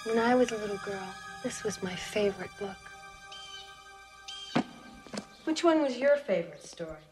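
A middle-aged woman acting in a film as a polite, matter-of-fact suburban lady who calmly plans to cook a boy. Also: mid-range voice, fairly quick, music in the background, lightly acted. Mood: mildly scolding. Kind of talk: film acting, understated menace. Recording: film soundtrack with faint noise.

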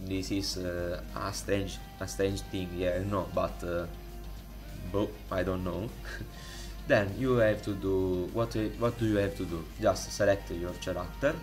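A man with an Italian accent, speaking English as a second language, walks through a modding tutorial in a halting way, with many fillers and restarts, recorded on a desktop microphone. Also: low-pitched, fairly even intonation, over music. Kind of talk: casual screen-recorded tutorial. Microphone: desktop USB microphone.

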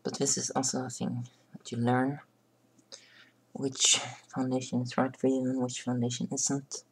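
Hoarse young man, gravelly voice. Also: medium pitch, completely flat delivery, moderate pace, poor audio. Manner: chatty, rambling, frequent throat clearing. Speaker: vlogger. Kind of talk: casual makeup vlog chat. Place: small bedroom at a desk. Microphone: webcam close up.

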